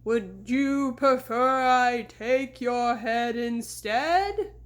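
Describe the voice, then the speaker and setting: A woman doing a slow, moaning ghostly horseman. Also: on the high side. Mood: menacing.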